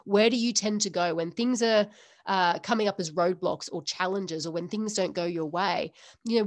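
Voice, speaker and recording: A woman's voice: medium pitch, dry recording, studio quality. A woman hosting a solo podcast, talking earnestly to listeners in a string of repeated rhetorical questions. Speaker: podcast host. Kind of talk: solo podcast coaching talk.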